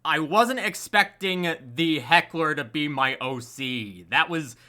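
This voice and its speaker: A man's voice: fairly high pitch, takes it slowly, bright, forceful tone. A man chatting casually about his creative work, rambling and often restarting his sentences. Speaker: audio drama voice actor and editor. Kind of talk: casual chat.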